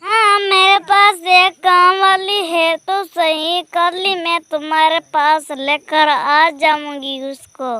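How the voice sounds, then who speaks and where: Lady doing a bossy nagging crow auntie for a cartoon, super high voice, bright, slow talker. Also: steady tone, full character voice. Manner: nagging, sharp-tongued. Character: scolding, bossy, selfish female crow wife and aunt. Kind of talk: Hindi moral-story cartoon dialogue.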